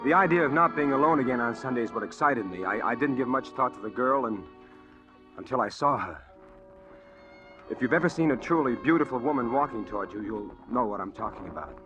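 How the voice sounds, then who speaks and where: A man's voice: mid-range voice, animated, a little fast, lo-fi sound, music in the background, lightly acted. An actor playing a lonely, wistful, plainspoken guy with a hesitant manner in a 1940s radio drama. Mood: brooding, wistful. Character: lonely, wistful, awkward city guy, drifting and homesick. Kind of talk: 1940s radio drama acting, first-person narration. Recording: old 1940s radio broadcast recording.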